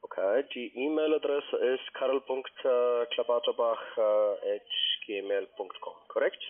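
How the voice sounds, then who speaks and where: A man making a polite phone sales pitch in non-native German with grammar slips, as a call center agent. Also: fairly even intonation, slow. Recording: band-limited telephone line.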